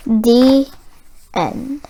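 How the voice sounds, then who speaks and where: A woman narrating a children's storybook as an expressive read-aloud. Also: a little high, extremely slow, poor audio. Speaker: storybook reader.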